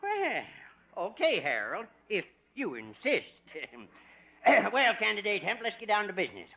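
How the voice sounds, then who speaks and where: A man's voice: sits high, wildly swooping pitch, a little slow, sharp tone, exaggerated voice. An actor playing a folksy older male veterinarian who jokes slyly, in a 1950 radio comedy. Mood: businesslike. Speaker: supporting actor. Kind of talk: 1950s radio comedy acting. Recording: dull restored 1950 radio recording.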